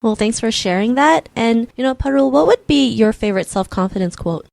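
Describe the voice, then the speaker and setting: A woman's voice: on the high side, animated, a little fast, creaky, a little mellow, dry recording. A woman hosting a podcast interview, talking loosely and chattily with fillers and restarts. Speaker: podcast host. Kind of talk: casual interview chat.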